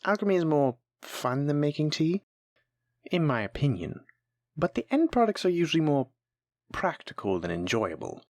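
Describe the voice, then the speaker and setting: A voice actor playing a kind, patient alchemy teacher in a fantasy audio roleplay, gently encouraging and instructing a new apprentice. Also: mid-range voice, very animated, unhurried, pauses often, no room sound, studio quality. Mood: encouraging, teaching. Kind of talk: soft-spoken scripted fantasy audio roleplay.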